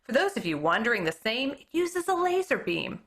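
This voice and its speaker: Bright, lively woman reading a book aloud. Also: a little high, moderate pace. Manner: expressive read-aloud, occasional stumbles and self-corrections. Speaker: elementary school teacher reading aloud.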